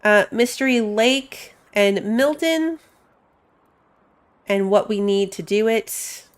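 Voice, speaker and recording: A woman streams a game with casual, chatty commentary. Her voice sits at a medium pitch for a woman. She thinks aloud as she plays, talking informally to those watching. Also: slow pace. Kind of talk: casual live gameplay commentary.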